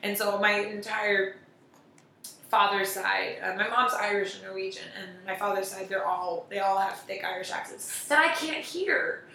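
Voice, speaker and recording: Casting: chatty American woman, bright voice, caught far off in an echoey room. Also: medium pitch, normal speed, gravelly. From United States. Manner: chatty, swears casually, laughs often. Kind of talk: casual chat.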